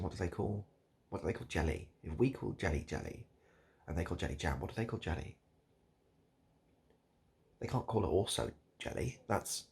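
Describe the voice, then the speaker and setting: A British man doing improvised, absurd comedy straight to the listener in a hesitant, repetitive, deadpan ramble. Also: low voice, creaky, slightly rough. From United Kingdom. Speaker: comedic video host. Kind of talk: improvised absurd comedy roleplay addressed to the viewer.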